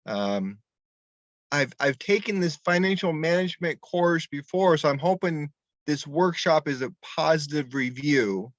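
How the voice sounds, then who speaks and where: Man, fairly high voice, matter-of-fact, video call, dull dry sound. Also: lively intonation, unhurried, no room sound. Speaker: workshop participant. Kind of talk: self-introduction on a video call.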